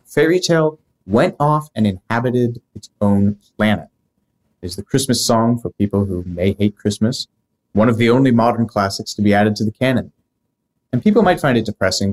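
Low-pitched man hosting a podcast. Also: low-quality recording. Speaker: podcast host. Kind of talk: reading scripted narration with casual asides.

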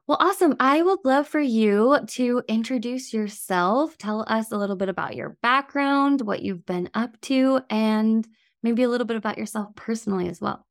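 A woman's voice: fairly high pitch, normal speed, no echo, studio quality. Upbeat woman hosting a podcast. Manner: upbeat, scripted intro then friendly chat. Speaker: podcast host. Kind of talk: podcast host interview.

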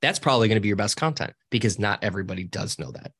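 A podcast guest, a man with a high voice and a cackling laugh. He talks casually and cheekily, his pitch swooping up and down as he rambles and restarts his sentences. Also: animated, racing, fairly bright tone, breathy edge. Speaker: podcast guest, social media co-founder. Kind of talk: casual interview talk.

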